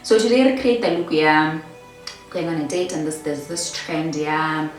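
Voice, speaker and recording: A woman's voice: low voice, normal speed, very echoey, distant mic, faint music behind, low-quality recording. A young woman with a Botswana accent, casually chatting and storytelling while doing her makeup, full of "like" and "my girl". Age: young adult. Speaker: beauty vlogger. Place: small bedroom indoors.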